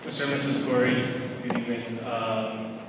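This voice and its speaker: A man making a deliberate, formal and respectful comment from an audience. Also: medium pitch, level tone. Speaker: audience member. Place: large echoing indoor hall. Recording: echoey hall, distant mic, noisy.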